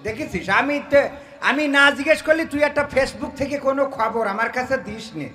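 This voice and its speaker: A man's voice: very high voice, moderate pace, sharp tone, breathy edge. A man performing a comic skit in a colloquial, indignant, scolding manner. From Bangladesh. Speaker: comedian. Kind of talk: comic skit with mock phone call.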